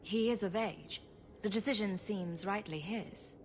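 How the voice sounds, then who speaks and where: An actress playing a young rogue hero who talks in a dry, sarcastic way. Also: female voice, mid-range voice, a little slow, slight background noise, close to an everyday voice.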